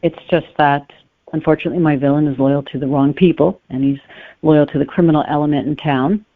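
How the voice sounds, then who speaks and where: A woman's voice: low voice, fairly even intonation, a little fast, no echo. A woman speaking as a guest author, giving conversational answers in an interview. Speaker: guest author discussing her book. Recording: narrow phone-like line, dry.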